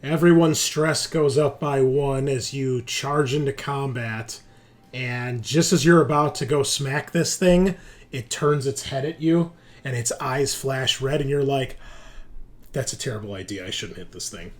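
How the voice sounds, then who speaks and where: Male game master, mid-pitched voice, loose colloquial tabletop narration. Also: unhurried. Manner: casual, colloquial, swearing.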